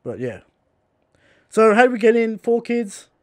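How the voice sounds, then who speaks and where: A man's voice: very high voice, sing-song, unhurried, no echo. A man with an Australian accent, co-hosting a podcast in casual chat, blunt and swearing freely as he rants. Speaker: podcast co-host.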